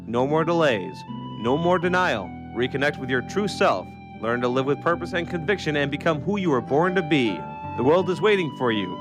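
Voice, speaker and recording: A man's voice: on the high side, expressive, normal speed. A man reading a scripted motivational radio advertisement as an announcer. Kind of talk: scripted motivational promo read. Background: music bed.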